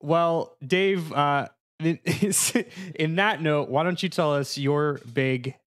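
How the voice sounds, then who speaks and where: A man's voice: on the high side, slow, fairly bright tone. A man hosting a casual podcast discussion, asking playfully probing questions in a mock-serious way. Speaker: podcast host.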